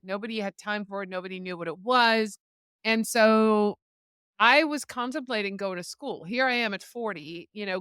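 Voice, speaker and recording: Chatty Dutch-born woman guest, smooth voice. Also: medium pitch, dry recording, studio quality. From Netherlands. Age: 50s. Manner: chatty, animated storyteller. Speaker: podcast guest. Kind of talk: casual interview storytelling.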